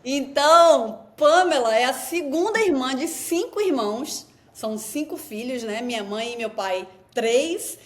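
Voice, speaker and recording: A woman's voice: high voice, expressive, measured pace, few pauses. A woman from Salvador with a Bahian accent, an actress co-hosting a podcast, playful and joking in casual chat. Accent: Bahian Brazilian Portuguese. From Salvador, Bahia, Brazil. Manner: playful, joking, laughs easily.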